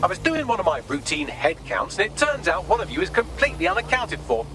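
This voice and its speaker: A man's voice: high-pitched, wildly swooping pitch, fast, over music. A man voicing a smug, sardonic British AI assistant in a video game, with a wry, wordy, theatrical manner. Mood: breezy, wry. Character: cheeky sardonic male AI assistant, quippy and smug.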